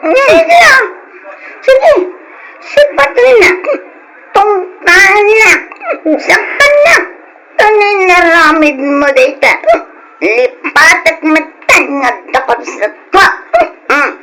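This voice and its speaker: A woman's voice: very high voice, lively intonation, very slow, long pauses, sharp tone, a little hiss or hum, exaggerated voice, poor audio. A woman playing a drunken, defiant teenage younger sister in an Ilocano radio drama, giggly and flippant. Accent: Filipino, Ilocano. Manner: loose, giggly tipsy talk.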